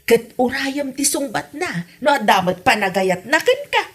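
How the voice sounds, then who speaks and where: A woman playing a fretful, fond mother of a grown son in an Ilocano comedy drama, with an expressive, coaxing delivery. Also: sits high, normal speed, breathy edge, heavily acted. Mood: concerned, urging.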